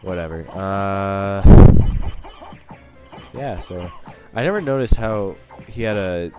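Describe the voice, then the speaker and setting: Young male let's-play host, bass-low voice, stuffy with a cold, rambling and wry. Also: animated, slow, music in the background, poor audio. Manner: rambling, self-mocking, chatty. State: sniffling from a cold. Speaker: gaming channel host. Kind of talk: let's play commentary over gameplay.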